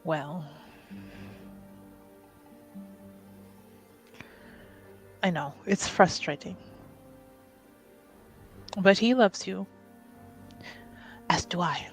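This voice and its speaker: A woman voicing an affectionate, gently conspiratorial woman who confides in a youngster, in a measured, reassuring manner, in a tabletop game. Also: fairly low pitch, faint music behind.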